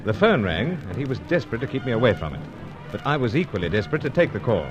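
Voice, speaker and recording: An actor playing a gentlemanly, dryly understated British secret agent, with clipped old-fashioned speech, in a radio adventure serial. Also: male voice, mid-range voice, very animated, fast talker, highs cut off, close to an everyday voice. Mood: brisk, narrating.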